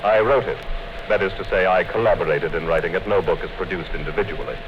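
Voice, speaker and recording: An actor in a 1950s radio play playing a calm, coldly menacing male interrogator who speaks in a measured way. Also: low-pitched, close to an everyday voice. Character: cold, patient male party interrogator, middle-aged. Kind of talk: 1950s radio drama acting. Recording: dull 1950s radio broadcast with hiss.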